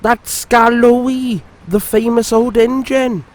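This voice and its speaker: A man voicing a small, gentle young male engine in a storybook, soft and apologetic. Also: extremely high pitch, fairly even intonation, takes it slowly, voice pushed up.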